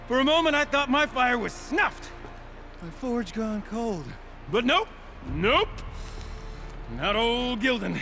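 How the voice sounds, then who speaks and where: An actor playing an eccentric, chatty old scavenger in a video game, speaking in a theatrical, wordy, rambling way. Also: male voice, very high voice, big pitch swings, slow pace, long pauses, music in the background, exaggerated voice. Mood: elated relief. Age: older. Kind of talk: animated video game character acting.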